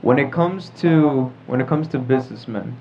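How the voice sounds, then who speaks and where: A teenage boy in casual chat, explaining his ideas slowly and deliberately. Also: medium pitch, slight background noise. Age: teens. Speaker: teen panelist. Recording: dull lo-fi sound with faint music.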